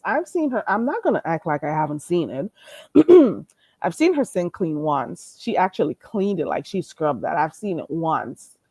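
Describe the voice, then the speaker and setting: Profane gossiping woman streamer, lively voice. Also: on the low side, breathy edge, no echo. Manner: greets and thanks viewers mid-talk. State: stuffy nose. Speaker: livestream host. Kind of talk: live-stream gossip commentary.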